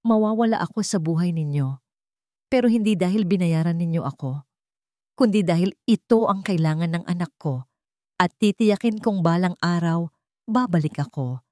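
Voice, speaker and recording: Proud young mother, firm and steady as a woman narrator reads her, low-pitched, dry close sound. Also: normal speed, dry recording, close to an everyday voice. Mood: resolute. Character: proud, wounded young mother turned resolute.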